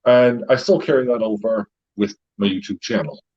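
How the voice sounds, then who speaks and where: Middle-aged American host, husky voice, muffled stream audio, rambling chat. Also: male voice, on the low side, moderate pace, darkish tone. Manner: rambling, with many fillers and laughs. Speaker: YouTube channel host; retired paramedic. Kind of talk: casual live-stream chat.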